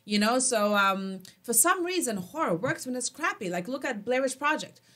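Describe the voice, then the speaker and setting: A woman's voice: fairly high pitch, normal speed. A woman who is not a native English speaker, a guest filmmaker chatting animatedly in a small radio studio on a desk mic. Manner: animated, filler-heavy, emphatic. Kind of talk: casual interview chat.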